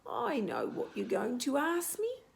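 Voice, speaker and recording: A woman voicing a knowing little bug in a storybook, with a squeaky voice. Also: wildly swooping pitch, unhurried, gravelly, full character voice. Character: squeaky, knowing bug inside a rotting log.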